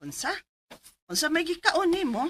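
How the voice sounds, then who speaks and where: A woman's voice: sits high, big pitch swings, normal speed. An actress playing a middle-aged, fussy but caring mother in a Filipino radio drama, nagging expressively with a Cebuano accent. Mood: scolding, worried. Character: fussy, caring, scolding mother of grown son.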